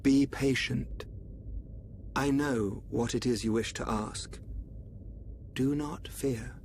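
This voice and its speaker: A man playing a calm, enigmatic royal seer in a video game, formal and assured. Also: mid-range voice, takes it slowly, a little hiss or hum. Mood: composed, reassuring. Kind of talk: dramatic video game voice acting.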